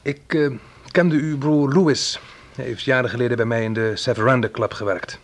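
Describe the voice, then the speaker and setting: An actor playing a polite but uneasy club owner in a radio play, speaking formally and hesitantly. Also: male voice, medium pitch, fairly even intonation, moderate pace, natural voice.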